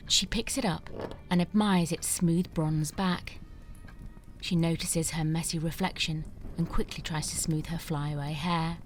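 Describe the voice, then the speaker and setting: A woman narrator reading screenplay scene descriptions and stage directions as scripted narration, over music. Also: lowish voice.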